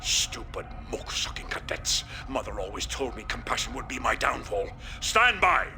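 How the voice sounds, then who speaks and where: A man voicing a scornful, theatrical Klingon warship colonel in a video game cutscene, sardonic and quick to insult. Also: mid-range voice, very animated, gravelly, music in the background. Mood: grumbling.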